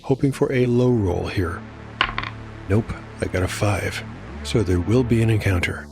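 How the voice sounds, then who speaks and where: Low-voiced man narrating dark fantasy. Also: a little slow, gravelly, over music. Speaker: narrator and solo game master. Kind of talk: scripted dark fantasy narration with game commentary.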